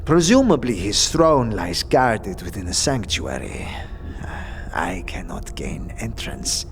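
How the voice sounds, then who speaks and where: An actor playing a calm, scholarly zealot of death, speaking formally and deliberately in a fantasy audio drama. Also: male voice, on the low side, full character voice. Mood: earnest, regretful. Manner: measured, formal, deliberate pauses.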